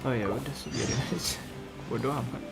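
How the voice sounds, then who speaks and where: A man's voice: medium pitch, fast. A man giving casual, slangy gameplay commentary. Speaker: gamer. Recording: gameplay commentary over clear game music. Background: game music.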